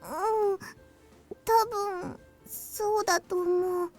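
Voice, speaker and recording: A shy, gentle young schoolgirl in a visual novel. The voice is extremely high and small, with hesitant, stammering delivery. Music plays behind. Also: steady tone, slow. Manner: hesitant, stammering, soft-spoken. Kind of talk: Japanese visual novel voice acting. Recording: game audio with music behind.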